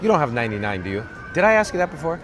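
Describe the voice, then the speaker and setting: Raspy man livestreaming from a crowd. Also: a little high, very fast. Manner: dry, self-mocking, monotone. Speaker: livestream host reading chat. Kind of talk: casual live-stream chatter. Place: outdoors on a parade route. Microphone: camera rig held by the speaker. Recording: outdoor crowd with music behind.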